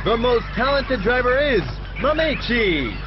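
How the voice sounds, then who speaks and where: A man's voice: very animated, unhurried, heavily acted. A man voicing an upbeat race broadcaster for a kart game, calling each course with rising hype. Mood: hyped. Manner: rising hype on course names, swinging pitch. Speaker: race announcer. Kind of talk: excited game announcer. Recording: dull game audio with noise.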